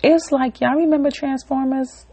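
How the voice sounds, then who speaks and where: A woman's voice: high-pitched, fairly even intonation, normal speed, warm tone. A woman hosting her own podcast, talking casually and ramblingly with many fillers, then leading a short relaxation and affirmation. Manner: rambling, conversational, filler-heavy; leads a relaxation and affirmation segment. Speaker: podcast host. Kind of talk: casual solo podcast talk.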